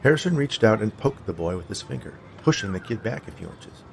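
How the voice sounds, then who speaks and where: A man narrates a short story from a script. His voice sits on the low side, and there is clear noise behind it. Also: sing-song, brisk.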